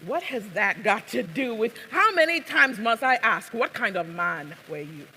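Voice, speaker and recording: A woman's voice: a little high, big pitch swings, normal speed, pushed and bright, pitched above the performer's own voice, exaggerated voice. A woman performing a haunted, accusing older widow in a stage piece, with a non-American accent and an insistent, commanding manner. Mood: anguished, fierce. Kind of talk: dramatic stage monologue. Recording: echoey hall, noticeable background noise.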